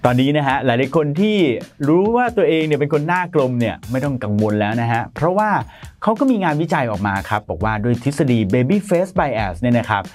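A man's voice: mid-range voice, very animated, a little fast, soft background music. A man in his thirties presenting an explainer to camera in a studio, talking in a polite, chatty way with a lapel mic. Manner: polite, chatty, frequent soft particles. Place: bright studio in front of a plain grey backdrop.

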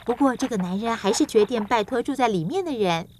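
A woman narrator telling a children's story in a scripted style, with clear, measured emphasis. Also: fairly high pitch, animated, darkish tone, slight background noise.

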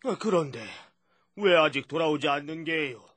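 A man's voice: on the high side, normal speed, a bit bright, breathy edge, no room sound. A man voicing a dignified, trusting middle-aged king and father in a children's puppet show, with stately old-fashioned speech. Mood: concerned, pondering.

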